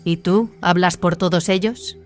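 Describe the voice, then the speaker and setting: A woman's voice: on the low side, fairly even intonation, a little slow, faint music behind, lightly acted. A woman as a stern, commanding warrior nun. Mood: guarded, challenging. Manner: firm, interrogating. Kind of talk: dramatised audio fiction dialogue in Spanish.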